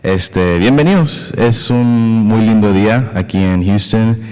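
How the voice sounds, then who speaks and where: A man's voice: fairly low pitch, slow, darkish tone, clean tone, big-room sound. A male podcast host talking casually and hesitantly, with many fillers and English words mixed in. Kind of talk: casual conversational interview.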